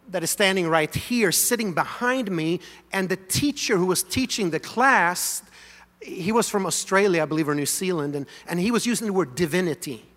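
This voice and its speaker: Swedish-born pastor, bright, slightly high voice, chatty storytelling sermon, big reverberant room. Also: male voice. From Sweden. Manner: animated, conversational, leads congregation in song. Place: large church sanctuary.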